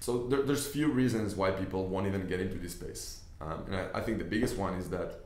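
A man's voice: low-pitched, a little fast, a little mellow. A young man from Israel with an Israeli accent, whose first language is Hebrew. He talks earnestly to a camera from a desk indoors, explaining his ideas with many restarts. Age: young adult. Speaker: business investor. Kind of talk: casual talk to camera. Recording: echoey room.

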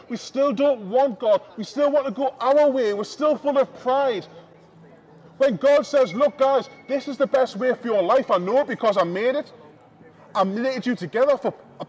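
A man's voice: very high voice, husky. A man in his thirties with a Northern English accent, preaching an open-air sermon to passers-by on a busy shopping street through a small headset mic. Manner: preaching loudly to passers-by, calling out to the crowd.

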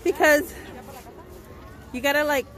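Woman behind a phone camera, high voice, unhurried, encouraging and laughing, outdoor plaza with a crowd around her. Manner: encouraging, laughing, calling out to children. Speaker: parent filming a kids' car race. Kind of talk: casual chat. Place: outdoor plaza at a youth fair. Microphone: phone camera held by the speaker. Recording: busy outdoor crowd noise.

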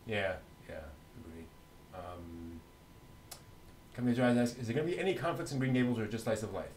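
A middle-aged man co-hosting a news show in a casual, chatty way with a halting delivery. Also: on the low side, animated, darkish tone, a little hiss or hum, rough recording. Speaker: co-host of an anime news show.

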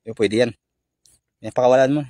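Isang binatang nagla-live stream habang nangingisda sa gabi, madaldal at bumabati sa mga manonood sa pangalan. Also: male voice, medium pitch, fairly even intonation, brisk, mellow, no room sound, clean studio sound. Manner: chatty, greets viewers by name, laughs often. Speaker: fishing vlogger doing a live stream. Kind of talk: casual live-stream commentary. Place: concrete seawall at night, outdoors.

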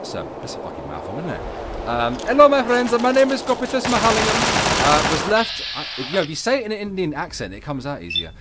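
Sarcastic English man over loud gunfire. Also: fairly high pitch, huge pitch swings, quick pace, heavy background noise. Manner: sarcastic, swearing, reads articles aloud with asides. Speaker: streamer reading news on a livestream. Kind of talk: casual commentary while gaming. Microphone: desk mic with webcam. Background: loud game gunfire.

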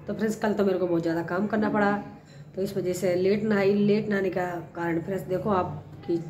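A middle-aged woman hosting a gardening vlog, hoarse from a cold and chatty, talking to viewers on a phone held at arm's length on her rooftop terrace. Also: mid-range voice, fairly even intonation, a little fast, darkish tone, a little hiss or hum. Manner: chatty, repeats "friends" often. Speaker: gardening channel host. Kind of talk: casual vlog talk to viewers. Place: home rooftop terrace with plants, outdoors.

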